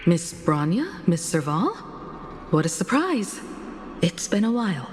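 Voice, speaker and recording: Game role: composed woman leader, low register, courteous and measured, reverberant sound. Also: sing-song, darkish tone. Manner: measured, formal, solemn. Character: composed, courteous adult woman leading a starship crew. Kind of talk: video game voice acting.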